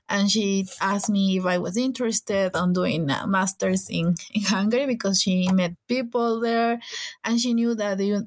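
Giggly young Ecuadorian woman, medium pitch. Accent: Ecuadorian Spanish. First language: Spanish. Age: young adult. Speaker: podcast guest. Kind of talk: casual chat.

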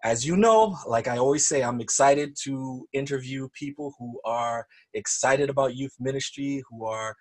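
Upbeat husky podcast host, a man. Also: mid-range voice, normal speed, hardly any pauses. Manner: upbeat, enthusiastic, churchy phrasing. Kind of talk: podcast intro and interview.

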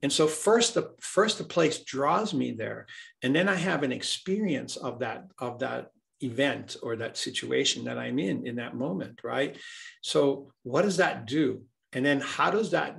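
A man's voice: medium pitch, unhurried, vocal fry, fairly bright tone. A man from Hawaii, a healer speaking as an interview guest, talking earnestly and reflectively, often repeating words as he searches for them.

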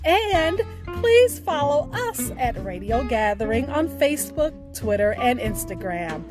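A woman with a fairly high voice hosts a scripted storytelling podcast. She speaks very slowly, enunciating each phrase with deliberate emphasis. Music plays clearly behind her words. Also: big pitch swings. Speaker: podcast host. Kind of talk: scripted podcast outro with spoken poetry.

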